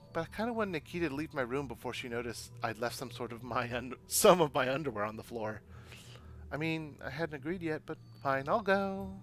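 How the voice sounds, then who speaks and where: A man reads as a young slacker woman narrating a visual novel. The tone is wry and awkward, the pitch jumps around freely, and music sits behind. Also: a bit bright. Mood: meek, reluctant. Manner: wry, self-deprecating.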